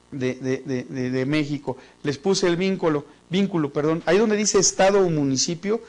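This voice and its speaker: Man, Mexican accent, teacher, casual lecture, rather high voice, repeats words. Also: darkish tone. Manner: chatty, jokey, repeats words while thinking. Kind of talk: online business class lecture.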